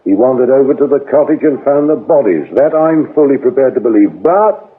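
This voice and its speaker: An actor playing a shrewd, scornful British police inspector interrogating in a radio drama, sardonic and accusing. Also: male voice, mid-range voice, animated, fairly quick, husky. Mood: scornful, stern. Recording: lo-fi, narrow-band radio drama recording.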